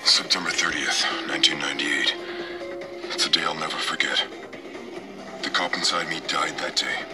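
A man's voice: rumbling low, steady tone, normal speed, over music. An actor playing a tough, determined male agent in video game dialogue. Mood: grim.

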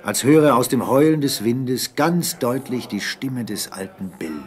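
A man's voice: medium pitch, lively intonation, unhurried. A man narrating an adventure story in a dramatic, scripted style.

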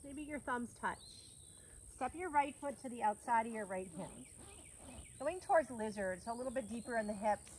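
A woman in her thirties guiding a yoga class outdoors on a farm field, giving calm, encouraging cues between breaths. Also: a little high, normal speed. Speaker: yoga teacher. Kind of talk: guided yoga class instruction. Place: outdoors on a grassy farm field under trees. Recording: faint outdoor noise, crickets. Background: faint crickets and country outdoor sounds.

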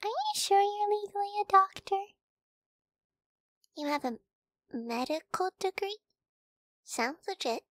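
A woman playing a timid, sweet, wary young wolf girl in an ASMR roleplay, speaking softly and hesitantly, often in whispers, close to the microphone. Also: very high voice, lively intonation, unhurried, long pauses, dry recording, exaggerated voice. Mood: doubtful, then trusting. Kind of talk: ASMR girlfriend audio roleplay.

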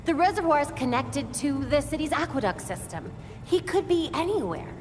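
A young woman voicing a brisk, analytical vigilante hero in a game cutscene. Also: sits high, very animated. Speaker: tech-savvy vigilante hero. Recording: reverberant cutscene with music.

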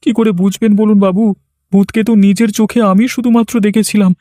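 A man voicing a nervous, excitable villager who is fearful of ghosts in a horror cartoon. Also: high voice, a little fast, no echo, studio quality, lightly acted. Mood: spooked. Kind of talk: Bengali horror cartoon dialogue.

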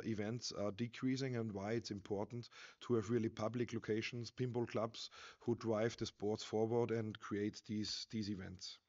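A man's voice: medium pitch, darkish tone. Austrian man giving an earnest expo talk. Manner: earnest, halting, frequent uh fillers and restarts. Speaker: conference speaker. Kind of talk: slide presentation talk. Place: expo stage in a large hall. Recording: slightly dull lo-fi sound.

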